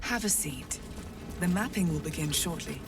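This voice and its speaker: A woman playing a cold, haughty cult enforcer in a fantasy game, deliberate and menacing. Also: low-pitched, lively intonation, music in the background. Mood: stern. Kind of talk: video game voice acting. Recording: slightly distant, faint background noise.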